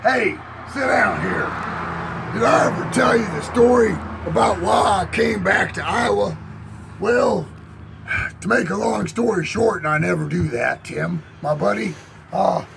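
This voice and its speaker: A man's voice: sits high, creaky, noisy background. A man telling a rambling, boastful tall tale in a folksy drawl, as if chatting on a porch. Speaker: storyteller in character. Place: outdoors on a porch.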